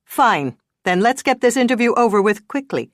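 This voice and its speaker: A cold, firm female detective, as a woman reader puts her on, pressing hard with no trace of sympathy. She speaks a little higher and louder than the reader's plain narration. The recording is dry and close. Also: very animated, moderate pace, no room sound. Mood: stern. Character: stern female police detective, the bad cop.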